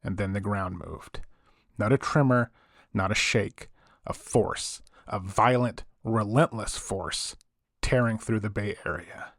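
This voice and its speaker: A man's voice: fairly low pitch, takes it slowly, fairly bright tone, no room sound, clean studio sound, full character voice. A man playing a late-night paranormal radio host, telling his stories in suspenseful, theatrical short phrases. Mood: grave, suspenseful.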